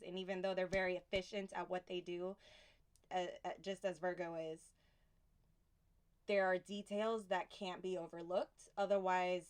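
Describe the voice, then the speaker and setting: A woman from Houston giving a casual tarot reading, soft and unhurried, pausing often, a little sniffly from allergies. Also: fairly low pitch, clean tone. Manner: soft, unhurried, reflective delivery with pauses. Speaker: tarot reader.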